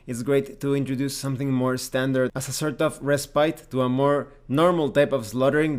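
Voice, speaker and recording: A man speaks as a reviewer hosting his own channel. His delivery is measured and explanatory, laying out points in semi-scripted commentary with emphatic wording. His voice sits at a middle pitch. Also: clean tone. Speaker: tabletop game reviewer and channel host.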